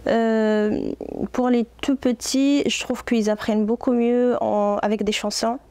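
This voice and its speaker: Woman in her 30s, arabic teacher, calm earnest answers, dry close sound. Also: fairly high pitch, measured pace, smooth, dry recording. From Morocco. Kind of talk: interview answers.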